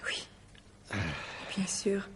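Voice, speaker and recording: A woman's voice: extremely slow, whispered. An actress playing a tender, distressed woman in love, pleading intimately in a French radio drama. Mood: anxious, tender. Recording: noisy radio play recording with clicks.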